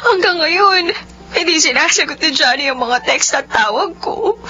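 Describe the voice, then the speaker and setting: A woman's voice: sits high, expressive, moderate pace, sharp tone, faint music behind. An actress playing a young woman pushed into a marriage she does not want, pleading and tearful in a radio drama. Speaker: Nanette, heroine of a radio drama. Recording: muffled lo-fi audio with faint hum.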